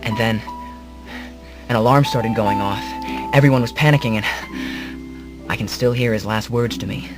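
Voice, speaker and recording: A male actor plays a hapless would-be hero narrating a comedic radio drama. His mid-range voice is earnest and halting, drifting into rambling melodrama, with clear music behind. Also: mid-range voice, lightly acted. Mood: wistful, melodramatic.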